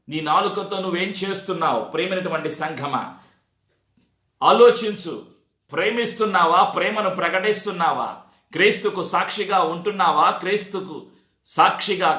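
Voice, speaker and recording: A man's voice: high voice, pushed and bright. A man preaching a sermon, exhorting his congregation with repeated phrases and rhetorical questions. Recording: echoey, reverberant sound.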